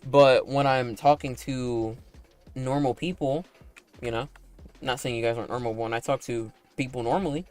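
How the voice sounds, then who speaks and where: Laid-back young man, slightly raspy voice. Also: mid-range voice, fairly even intonation, moderate pace, a little mellow, faint music behind. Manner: laid-back, rambling, full of likes and tag questions; talking in a put-on broadcast voice. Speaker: animator and streamer. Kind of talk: casual livestream chat while playing a game.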